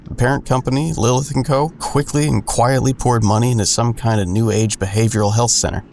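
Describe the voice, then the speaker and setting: A man's voice: lowish voice, darkish tone, slight background noise, lightly acted. An actor playing a jaded man who narrates his own story in an audio drama with wry, sardonic delivery. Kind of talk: first-person noir-like audio drama narration.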